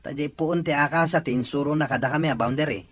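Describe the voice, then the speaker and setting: A man's voice: a little high, fast, a bit bright. A man acting an earnest labourer in a radio drama, speaking with an Ilocano accent. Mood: earnest, puzzled. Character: Simon, earnest hired labourer reporting a boundary problem.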